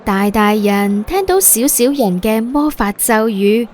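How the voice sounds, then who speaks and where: A woman's voice: on the high side, expressive, unhurried, darkish tone. A woman with a Hong Kong Cantonese accent narrating a scripted story for children as a podcast host.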